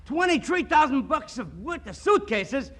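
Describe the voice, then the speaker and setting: An actor playing a brash, slangy wisecracking city sidekick in 1940s radio comedy, sounding incredulous. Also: male voice, very high-pitched, big pitch swings, moderate pace, fairly bright tone, full character voice. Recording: noisy old radio recording.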